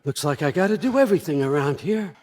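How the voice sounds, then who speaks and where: A man's voice: a little high, lively intonation, fast, a little mellow. An older American man acting in a video game as a scheming, controlling old father god, wry, folksy and conversational as he manipulates. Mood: furious.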